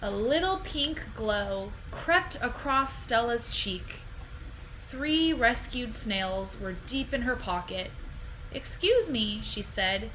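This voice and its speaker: A young woman reading a children's picture book aloud in an expressive, deliberate storytelling style, recorded at home on a computer microphone. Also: a little high, slight background noise, poor audio. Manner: animated, deliberate phrasing.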